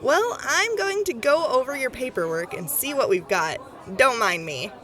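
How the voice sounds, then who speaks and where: A woman's voice: high-pitched, lively intonation, normal speed, a bit bright, some chatter behind. A woman voicing a cheerful, gossipy clerk going over paperwork in an audio drama. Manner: bubbly and gossipy.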